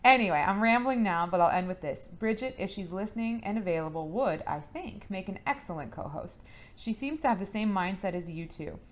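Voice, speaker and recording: A woman co-hosting a podcast, reading a listener email and answering it in a relaxed, soft way. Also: medium pitch, a little fast. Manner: relaxed, soft-spoken, trails off quietly. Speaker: podcast co-host. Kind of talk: casual chat, reading listener mail.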